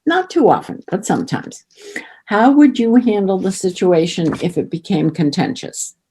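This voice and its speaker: A woman on a board putting interview questions to a candidate over a video call, in a measured, polite way. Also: medium pitch, big pitch swings, dark tone, slight background noise. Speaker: council board member asking questions. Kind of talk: interviewing at a public meeting.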